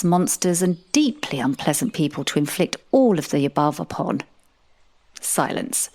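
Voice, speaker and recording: Low-voiced, dryly composed actress as woman narrator. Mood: deliberate, deadpan. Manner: measured, dry, deadpan. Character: composed, wry female supernatural narrator dealing with monsters. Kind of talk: scripted first-person fantasy narration.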